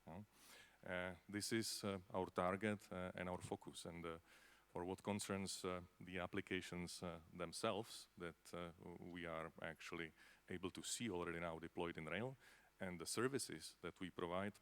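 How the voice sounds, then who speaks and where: A man's voice: sits low, fairly even intonation, normal speed, hardly any pauses, fairly bright tone, clear, resonant tone. A man from the Czech Republic with a Czech accent, answering on a conference panel in a conversational way, with many fillers and tag questions. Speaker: panelist from a space agency.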